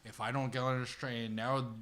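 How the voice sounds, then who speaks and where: A man's voice: medium pitch, flat delivery, fast talker, a crowd in the background. A man telling family stories casually on a podcast, with slangy, profane wording and quoted dialogue acted out. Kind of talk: casual personal storytelling.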